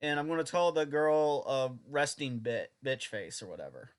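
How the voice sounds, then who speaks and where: A man's voice: fairly high pitch, sing-song, moderate pace, smooth. A young man reviewing an old anime dub in a casual, sarcastic, rambling way.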